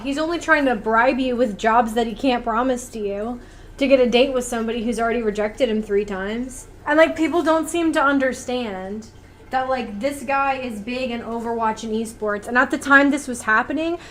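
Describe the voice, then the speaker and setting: A woman with a slightly high voice talks casually, like a streamer giving her side of things. She leans on "like" and often checks that the listener follows. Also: moderate pace, fairly bright tone, slight background noise. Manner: frequent "like" and "you know what I mean". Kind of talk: casual conversational account.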